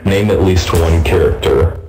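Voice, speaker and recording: A man's voice: sits low, measured pace, music in the background. A man leading an online roleplay event, casually giving orders and announcements over voice chat.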